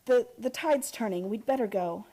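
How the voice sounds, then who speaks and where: An actress playing an earnest young heiress in a radio drama, with urgent, impassioned delivery. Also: female voice, on the high side, moderate pace, dark tone, close to an everyday voice. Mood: anxious.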